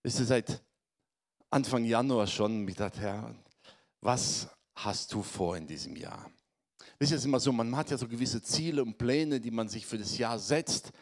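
A man's voice: medium pitch, moderate pace. Man with a cold preaching a sermon. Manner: conversational, addresses congregation with tag questions. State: has a cold, voice affected. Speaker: pastor.

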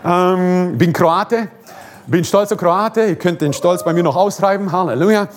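A man's voice: sits high, unhurried. A man from Croatia, preaching a fervent, repetitive sermon and prayer with joking asides.

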